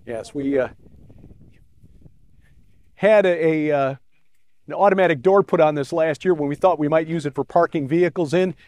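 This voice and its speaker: Middle-aged guy on a lapel mic touring his barn, kinda high voice, lots of ums, dry sound. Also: normal speed, long pauses, no room sound. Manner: relaxed, with frequent fillers. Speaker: ministry host. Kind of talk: casual walkthrough talk to camera. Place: inside a large metal pole barn and outdoors beside it.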